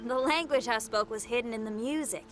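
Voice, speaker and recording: A woman's voice: fairly high pitch, fairly quick, loud music behind the voice, close to an everyday voice. A woman voicing a bold, upbeat young female rock musician in a video game, colloquial, punchy and enthusiastic. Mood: earnest. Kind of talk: animated video game voice acting.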